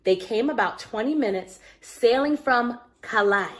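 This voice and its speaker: A woman telling a story to young children in an expressive, engaging way, with asides to her listeners. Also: on the high side, unhurried.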